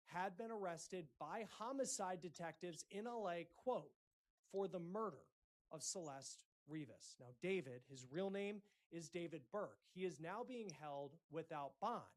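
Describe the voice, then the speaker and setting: A man hosting a breaking news report, speaking in deliberate, emphatic phrases with dramatic pauses. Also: sits high. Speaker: news host.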